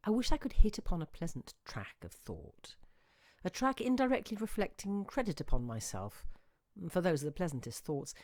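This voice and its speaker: A woman's voice: deep voice, a little mellow, dry recording. Woman reading literary fiction, close dry sound. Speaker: reader and performer of a literary short story. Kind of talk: expressive literary audiobook narration.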